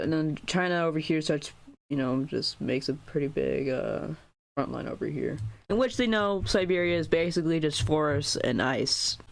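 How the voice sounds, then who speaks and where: Casting: young American guy, slightly high voice, loose rambling map-video voice-over. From United States. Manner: rambling, many fillers and restarts. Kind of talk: casual map commentary.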